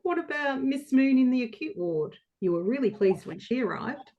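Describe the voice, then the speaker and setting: Woman as a chatty receptionist, highish voice. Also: expressive, moderate pace, lo-fi sound. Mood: reassuring. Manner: matter-of-fact, chatty, colloquial. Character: chatty, casual, unbothered Australian female receptionist. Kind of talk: play reading.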